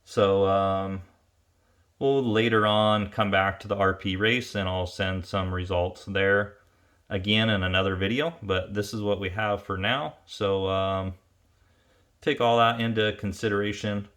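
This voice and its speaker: A man giving an informal, unscripted technical review as a tuner and presenter, speaking haltingly and pausing mid-phrase to think. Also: on the low side, fairly even intonation, a bit bright. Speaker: ATV engine tuner and presenter.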